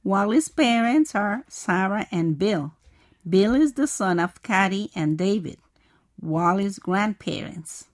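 A woman's voice: mid-range voice, lively intonation, slow, no echo, studio quality. A woman, playing the curious student in a scripted English lesson. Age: 40s. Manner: curious, asking questions. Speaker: co-host acting as student.

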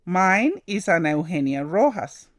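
Quiet teen girl, acted by a woman, unhurried and deliberate. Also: fairly low pitch, sing-song, dry recording, studio quality. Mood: calm. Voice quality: slow, careful reading. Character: quiet teenage schoolgirl, sixteen.

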